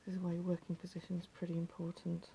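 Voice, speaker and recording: A woman with a slightly croaky voice, patiently talking viewers through a craft step by step and often dropping to a near whisper. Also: fairly low pitch, completely flat delivery, quick pace, background noise. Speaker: needle felting teacher. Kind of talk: hands-on craft tutorial.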